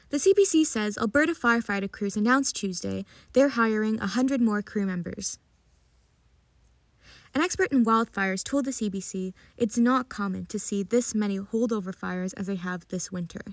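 A woman's voice: mid-range voice, dry recording. A woman reading scripted news as a radio host.

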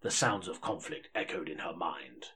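A British audiobook narrator in a comedy. His voice is low and husky. He comes across as polite and a little hesitant, the sort who reads high fantasy with care. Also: animated, moderate pace, pushed and bright, heavily acted. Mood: solemn narrating.

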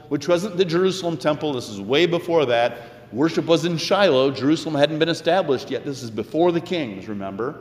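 A man preaching a sermon as a pastor, with deliberate, emphatic phrasing and conversational asides. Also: on the high side. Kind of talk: sermon, expository storytelling with quoted scripture. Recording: echoey hall with long reverb.

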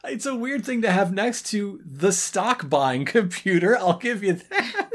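A woman's voice: mid-range voice, sing-song, unhurried. A woman co-hosting a podcast with wry, amused commentary and casual banter. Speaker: podcast co-host.

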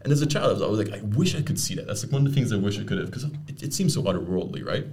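A man's voice: on the low side, lively intonation, very fast, darkish tone. A young man co-hosting a podcast, chatting casually and sharing fun facts with growing enthusiasm. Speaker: podcast co-host. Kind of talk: casual chat.

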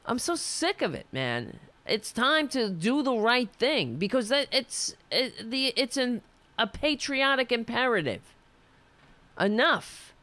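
A woman's voice: on the high side, very animated, takes it slowly, fairly bright tone, no room sound. A woman hosting a political show, delivering an impassioned, ranting monologue while losing her voice. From United States. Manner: fiery, ranting, restarts mid-sentence.

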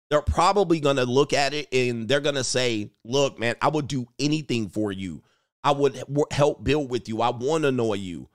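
A man's voice: medium pitch, no echo, studio quality. A man giving casual, rambling reaction commentary, joking and trailing off mid-thought. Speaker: YouTube commentator.

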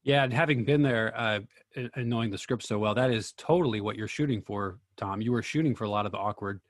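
A man's voice: fairly low pitch, fast talker, no echo, clean studio sound. A man moderating a casual feedback discussion in an encouraging way.

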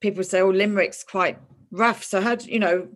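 A woman hosts a relaxed podcast chat with a friend. Her voice sits in a middle register. She talks loosely and keeps restarting her sentences. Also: mid-range voice, brisk. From moved to Ireland in 2000. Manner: chatty, warm with a friend, many fillers and restarts. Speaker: podcast host. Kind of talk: casual interview chat.

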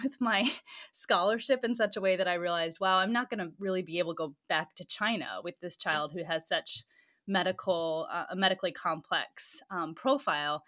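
A woman's voice: fairly low pitch, a bit bright. Reflective woman, laughs lightly while talking. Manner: thoughtful, laughs often between phrases. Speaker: pastor and scholar. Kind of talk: interview answers.

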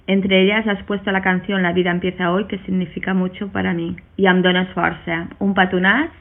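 A woman's voice: on the low side, level tone, moderate pace. A woman giving a prepared fan message. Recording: thin phone-like band.

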